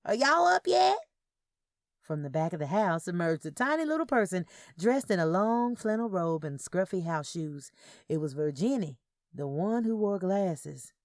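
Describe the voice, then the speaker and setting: Low-voiced woman narrating fiction. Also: expressive, normal speed, a little mellow, dry recording, studio quality. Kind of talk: scripted first-person story narration.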